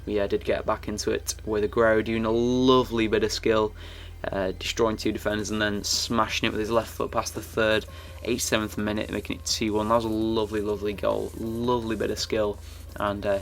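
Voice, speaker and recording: A young man giving casual, rambling commentary over his football game footage, his voice croaky and full of fillers and restarts. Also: on the low side, little pitch movement, fast talker, hardly any pauses, a little hiss or hum. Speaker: gaming YouTuber.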